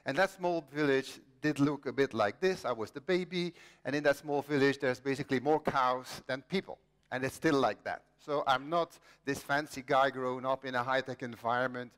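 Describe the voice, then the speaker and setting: A man's voice: mid-range voice, normal speed, fairly bright tone. A man in his late fifties from Belgium, telling the story of his own life as a conference speaker, joking with the audience and poking fun at himself. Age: 50s. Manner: self-deprecating, jokey with the audience. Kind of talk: personal storytelling talk. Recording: long reverb of a large hall.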